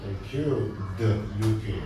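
A man's voice: lowish voice, slow pace. A man in his thirties giving a spoken English test as a teacher, putting short, simple questions and repeating them to prompt. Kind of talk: oral exam questioning, slow and simple classroom speech. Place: small indoor test room. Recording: echoey room, distant mic, noisy.

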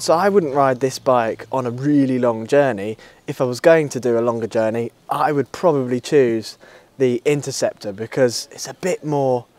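A man's voice: mid-range voice, a little mellow. A British man in his thirties chatting casually to camera as a vlog host, musing in an easygoing, enthusiastic way. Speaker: motorcycle reviewer, channel host. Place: outdoors at a table outside a country pub. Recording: clear outdoor ambient and vehicle noise.

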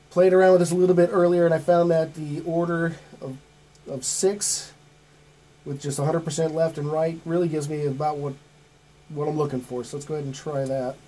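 Tutorial host, male, middle-aged. Relaxed, dark-toned delivery, informal and plain-spoken, walking through steps. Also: fairly high pitch, low-quality recording. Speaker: host of music recording tutorial. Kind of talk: informal step-by-step tutorial narration. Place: small home studio with acoustic foam.

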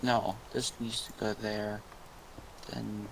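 A young man talks his way through a game, excitable and quick to swear. He speaks slowly, and a faint background hum sits under his voice. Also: on the low side, creaky, poor audio. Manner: excitable, swears, yells and laughs. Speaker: gamer co-host. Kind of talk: casual gameplay chat.

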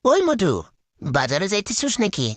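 Hot-headed village husband, swooping pitch. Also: male voice, very animated, moderate pace, dry recording. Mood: grumbling. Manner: indignant, argumentative, exclaiming. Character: hot-headed, stingy village husband who haggles and snaps at everyone. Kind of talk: comic cartoon acting in Bengali.

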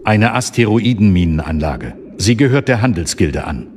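An actor playing a serious, resolute Jedi master, stern and questioning, in a radio drama. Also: male voice, lowish voice, sing-song, moderate pace, slight background noise.

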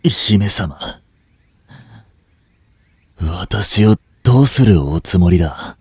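Guy playing proud prince caught as a spy, deep slow voice, formal and pleading, voice drama style. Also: lively intonation, dark tone, slightly rough, dry recording, studio quality, exaggerated voice. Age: 20s. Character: proud, smooth-talking young prince unmasked as a spy. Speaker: amateur voice actor and voice drama creator. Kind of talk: dramatic Japanese voice drama acting.

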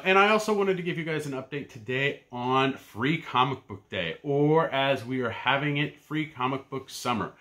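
A man in his thirties giving a casual, rambling rundown of his weekly comic picks from a shelf-lined room at home, full of ums and uhs and trailing off quietly. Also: medium pitch. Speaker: comic book vlogger. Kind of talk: casual pull-list rundown.

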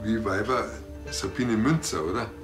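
A male actor plays a chatty, teasing cop in a TV crime comedy. His voice is fairly low with a Bavarian accent, with music behind. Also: moderate pace, lightly acted. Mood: chatty, probing. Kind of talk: television crime comedy acting.

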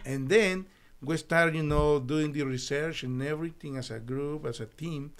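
A middle-aged man from El Salvador with a Spanish accent, telling his story as an interview guest in halting, earnest English in a small studio on a desk mic. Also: fairly high pitch, smooth. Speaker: guest, naturopathic doctor. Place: small studio with foam wall panels. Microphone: desk podcast mic.

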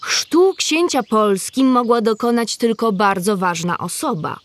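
A woman's voice: fairly high pitch, animated, measured pace. A woman narrating a scripted story for children, explaining things directly to young listeners.

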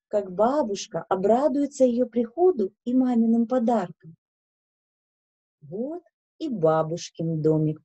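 A woman reads to children with a slow, careful delivery. Her voice is mellow and slightly husky, expressive and instructive throughout. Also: fairly high pitch. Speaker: children's story reader. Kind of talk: storytelling to children. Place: small indoor room with bookshelves.

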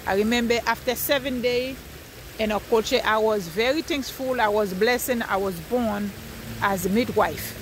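A woman's voice: a little high, normal speed, slight background noise. A woman from Haiti with a Haitian accent giving an earnest spiritual talk outdoors by a river at night, repeating her phrases as she preaches.

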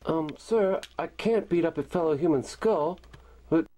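A man's voice: on the high side, measured pace, dark tone, natural voice. A man playing a nervous, obedient spaceship crewman in a homemade science-fiction audio drama, hesitant and stammering. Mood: anxious. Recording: very noisy old home tape recording.

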